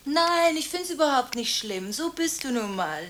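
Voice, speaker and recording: An actress playing a restless, contradictory woman on the phone late at night in a radio drama, halting and anxious, shifting between hushed and insistent. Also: sits high, lively intonation. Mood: flustered, hurried. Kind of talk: radio monodrama acting, one side of phone conversations.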